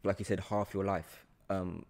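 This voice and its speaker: A man's voice: deep voice, flat delivery, moderate pace, darkish tone. A young man with a London accent, chatting casually as the host of an interview podcast, close to the microphone. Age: young adult. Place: small room, indoors. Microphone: podcast microphone on boom arm, close.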